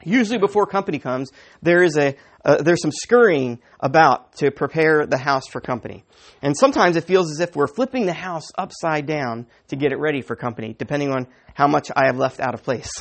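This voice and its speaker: A male pastor delivers a conversational sermon in a slightly high voice with a sniffly, mildly raspy tone. His pitch rises and falls in a lively way as he chuckles and keeps checking in with the congregation. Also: fairly quick.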